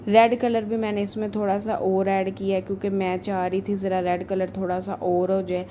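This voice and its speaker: A woman's voice: mid-range voice, level tone, moderate pace, mellow. A woman giving a chatty, informal recipe voice-over as a home cook. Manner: informal, chatty, homely asides. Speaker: home cook, cooking channel host. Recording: voice-over with clear background noise.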